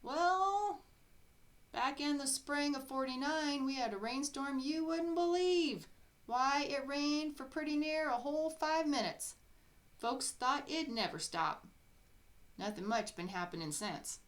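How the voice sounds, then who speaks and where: A woman's voice: high voice, unhurried, pitched above the performer's own voice. A woman voicing a folksy old small-town barber, a male gossip in a storybook. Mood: folksy, earnest.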